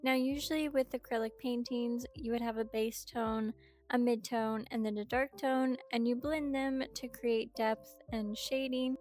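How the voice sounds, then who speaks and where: A young woman who is a little sick, gently narrating her art process in a chatty, upbeat way. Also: fairly high pitch, steady tone, unhurried. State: slightly sick. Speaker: art vlogger. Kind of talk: relaxed art tutorial narration.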